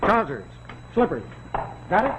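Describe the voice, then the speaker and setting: An actor playing a brusque, demanding army official who gives clipped orders in a 1940s television drama. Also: male voice, sits high, big pitch swings, measured pace. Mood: brusque, urgent. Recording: dull, noisy 1940s TV soundtrack.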